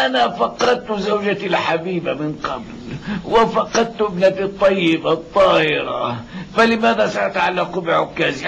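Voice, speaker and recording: A blind old father from a cartoon dub, with a creaky, fairly high voice. He mutters and groans, pitiful yet hopeful. There is some noise on the soundtrack. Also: a bit bright, muffled recording, full character voice. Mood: relieved, resolute.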